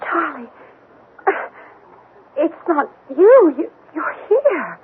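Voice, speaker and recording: A young country sweetheart, tender and torn. Her high voice falters with emotion in 1970s radio drama style. The recording is thin and narrow, like an old broadcast. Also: big pitch swings, very slow, heavily acted. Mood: stunned, tender. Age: young adult. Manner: breaking off and repeating words, emotional.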